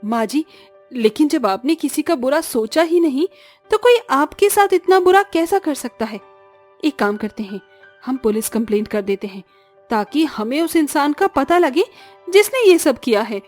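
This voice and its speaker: An earnest young daughter-in-law from a village cartoon tale, voiced by a woman. She has a high female voice, sincere and pleading, over background music. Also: expressive, normal speed, a little mellow. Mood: reassuring.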